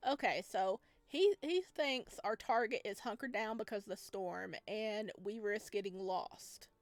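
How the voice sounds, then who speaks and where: A woman's voice: medium pitch, unhurried, no room sound. A woman giving chatty, playful commentary on a playthrough, reading lines aloud as she goes. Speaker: game streamer. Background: game music.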